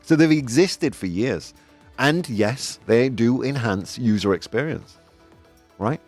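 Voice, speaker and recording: A man hosts a casual opinion show and talks in a rambling, self-correcting way with plenty of fillers. His pitch swings widely as he gets worked up. Faint music plays underneath. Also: animated, slow pace, a little mellow. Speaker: podcast host. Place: Spain.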